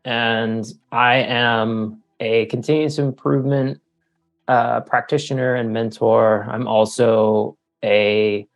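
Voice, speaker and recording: A man's voice: medium pitch, steady tone, slow, lo-fi sound. A middle-aged man giving reflective, somewhat hesitant answers as an author and leadership coach being interviewed.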